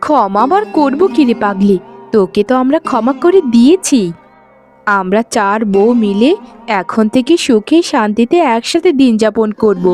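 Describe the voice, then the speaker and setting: A woman voicing a gentle, protective eldest co-wife in a cartoon family story. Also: fairly high pitch, animated, moderate pace, soft background music, close to an everyday voice. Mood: forgiving, soothing. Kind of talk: cartoon voice acting.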